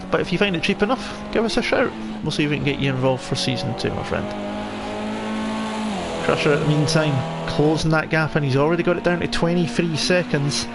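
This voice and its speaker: A man with a Scottish accent commentating live on an online car race, chatting conversationally with viewers in friendly asides. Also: on the high side. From Scotland. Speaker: esports racing commentator. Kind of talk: live sim racing commentary mixed with casual chat to viewers. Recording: loud race car engine noise. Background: racing game car engines.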